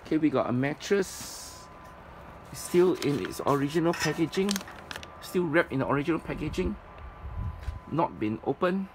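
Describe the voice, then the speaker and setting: A man giving an informal, halting item-by-item walkthrough as a presenter at a storage unit. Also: lively intonation. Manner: halting, piecemeal delivery. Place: storage facility, at open unit. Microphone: handheld phone camera, speaker behind it. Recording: noisy handheld recording, traffic-like rumble.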